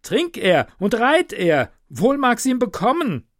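A man's voice: huge pitch swings, slow pace, no room sound, clean studio sound, full character voice. A man doing a fretful old innkeeper. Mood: urging. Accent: Palatine German, softened by speech training. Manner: high, exclaiming, anxious. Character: excitable old village innkeeper, alarmed and fussing.

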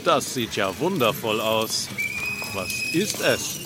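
A man's voice: lowish voice, very animated, takes it slowly, over music. An actor playing a pompous, cruel medieval king with imperious, archaic speech in a comic audio drama. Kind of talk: amateur comedy audio drama.

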